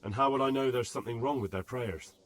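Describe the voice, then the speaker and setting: An actor playing a young, pragmatic medieval investigator in a video game, plain-spoken and full of direct questions. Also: male voice, medium pitch, fast talker, lightly acted. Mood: questioning. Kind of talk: video game cutscene acting. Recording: clear music behind the voice.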